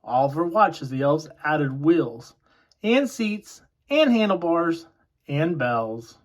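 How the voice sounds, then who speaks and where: Higher-voiced man reading a children's storybook. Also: big pitch swings, slow pace. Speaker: children's picture book reader. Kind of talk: read-aloud storybook narration for children.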